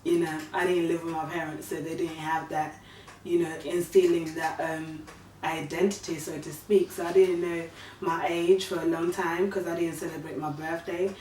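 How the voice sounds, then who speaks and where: Guest: Ugandan-born woman in her 30s, soft, hesitant, low voice, roomy distant recording. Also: flat delivery, moderate pace. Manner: soft-spoken, hesitant, many fillers. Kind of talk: casual storytelling. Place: living room, sitting on a sofa. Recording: echoey room, far from mic, faint hiss.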